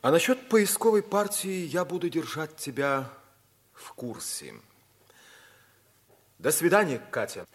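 An actor playing a kindly, concerned older mentor in a theatrical 1950s Soviet radio drama. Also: male voice, a little high, lively intonation, a little slow, pauses often, breathy edge. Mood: gentle, concerned.

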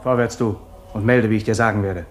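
An actor in a radio play as a peremptory royal attendant, announcing and giving orders. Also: male voice, fairly low pitch, steady tone, fairly quick, slight background noise, close to an everyday voice. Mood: commanding. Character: brisk, commanding male royal attendant. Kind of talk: German radio play acting.

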